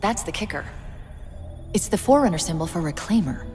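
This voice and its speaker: A woman playing a quick-witted, wry female AI companion in a video game cutscene, articulate and expressive. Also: fairly low pitch, in a large hall, faint music behind, close to an everyday voice. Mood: wry, focused.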